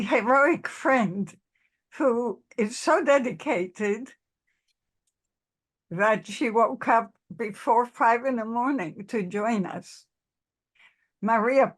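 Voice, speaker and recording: Woman moderator, high voice, very slow, deliberate pace, video call. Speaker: webinar moderator. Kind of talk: hosting a webinar.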